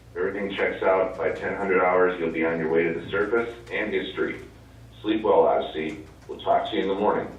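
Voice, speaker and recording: A man acting a businesslike space-agency radio officer in a stage play. The fairly low voice comes through a narrow, phone-like radio channel. It echoes heavily and sounds far away. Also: a little hiss or hum. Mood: brisk, businesslike. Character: businesslike male Mission Control radio officer. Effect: radio transmission. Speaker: stage actor in a one-act play.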